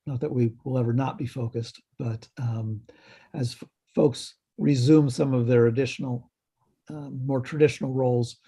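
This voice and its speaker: A man giving a halting update at a health committee meeting over a video call, slightly hoarse, with many ums and restarts. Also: medium pitch, fairly even intonation, warm tone. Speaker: health department staff presenter.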